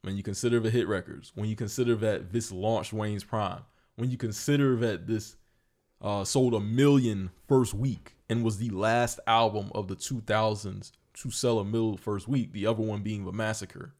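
Low-voiced man debating music casually. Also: steady tone, a little mellow. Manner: measured, explains his reasoning at length. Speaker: podcast co-host.

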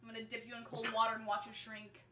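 A woman's voice: a little high, monotone, fast talker, crowd noise. A woman co-hosting a casual podcast, bantering in a blunt, teasing way with crude humour. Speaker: podcast co-host.

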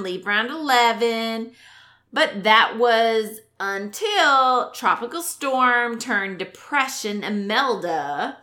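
Woman, bright voice, podcast co-host, lively storyteller, casual chat. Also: fairly high pitch. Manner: lively storyteller, builds suspense with questions.

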